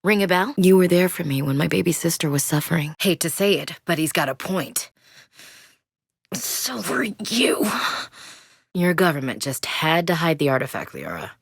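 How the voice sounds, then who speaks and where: A frank, steady woman soldier for a sci-fi video game. Her voice sits low and she speaks in plain, dry military terms. The studio recording is close and dead dry. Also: moderate pace, creaky, husky, dry recording, full character voice. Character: tough female human soldier, blunt and loyal. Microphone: close studio mic.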